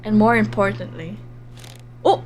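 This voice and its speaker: A woman livestreaming a visual novel, reading story text aloud and commenting on it in an animated, reactive way. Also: medium pitch, a little slow, slight background noise, low-quality recording. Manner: animated, reactive, giggly, drops into hushed narration. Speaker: streamer playing a visual novel live.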